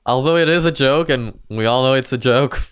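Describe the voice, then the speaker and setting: A man's voice: medium pitch, moderate pace, clean tone, no echo, clean studio sound. A man giving casual, informal commentary as host of a reaction video. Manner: laughs often, informal.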